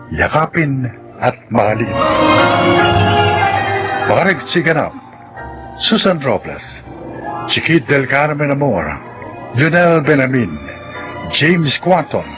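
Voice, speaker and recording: A male Filipino radio announcer delivers scripted drama narration and credits. His mid-range voice moves with lively intonation over a clearly audible music bed. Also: mid-range voice. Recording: music under the voice.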